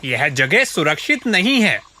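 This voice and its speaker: A man voicing a cautious elephant in a children's animal story, deliberate and warning. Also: fairly high pitch, big pitch swings, bright, forceful tone, a little hiss or hum, full character voice.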